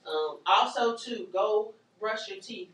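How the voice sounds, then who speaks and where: Woman trainer, loud and animated, teaching caregivers; roomy distant sound. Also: medium pitch, takes it slowly. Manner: animated, joking, acts out scenes, Southern-style casual grammar. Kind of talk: lively workshop teaching. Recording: echoey room from far off, faint noise.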